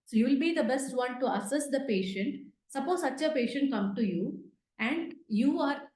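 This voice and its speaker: A woman's voice: high voice, animated, normal speed, darkish tone. A woman giving an interactive medical lecture online, prompting her learners with questions. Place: indoors, virtual class over video call. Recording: roomy, echoey online call audio.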